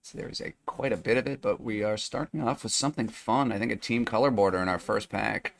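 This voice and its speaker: A young adult man with a hoarse, fading voice, hosting a live card-opening stream in a chatty, upbeat way with lots of fillers. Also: on the low side, fast. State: hoarse, losing his voice. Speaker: live card-break host. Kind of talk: livestream sales chat.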